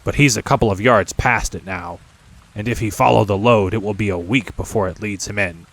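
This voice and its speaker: A man voicing a gruff, bossy older goblin father with a rough, deep voice in a storybook reading. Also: a little fast, poor audio. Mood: gruff, unhurried.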